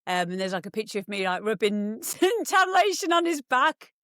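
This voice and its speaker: A woman's voice: on the high side, sing-song, normal speed, fairly bright tone, dry recording, clean studio sound. A woman, chatting as a podcast guest, rambling with lots of ums and restarts. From England. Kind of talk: casual chat.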